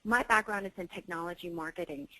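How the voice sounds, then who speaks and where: A woman on a webinar panel, dialing in by phone and introducing herself in a steady, friendly way. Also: on the low side, quick pace, poor audio. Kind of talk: casual talk on a webinar. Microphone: phone dial-in.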